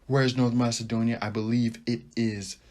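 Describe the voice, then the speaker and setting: A young man hosting a sports podcast, talking casually and rambling with animated asides as he thinks out loud. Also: mid-range voice, moderate pace. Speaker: podcast host. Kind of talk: casual sports talk monologue.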